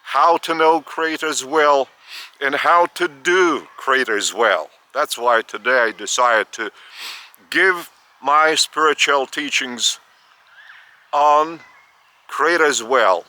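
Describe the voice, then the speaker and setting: Older male spiritual teacher, Lithuanian accent, slow and halting, bright tense tone, outdoors. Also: mid-range voice. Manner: slow, halting, repeats words. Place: outdoors by a school stadium.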